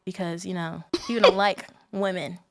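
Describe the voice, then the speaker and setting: A woman's voice: lowish voice, steady tone, moderate pace, background noise. A young adult woman speaking as a student guest in a casual podcast interview, informal and candid in the way she talks.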